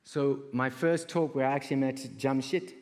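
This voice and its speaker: A middle-aged man with a South African accent giving a stage talk, telling personal stories with pauses and repeated words. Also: medium pitch, steady tone, darkish tone. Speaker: conference speaker, creative entrepreneur. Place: large indoor auditorium stage. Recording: reverberant auditorium hall.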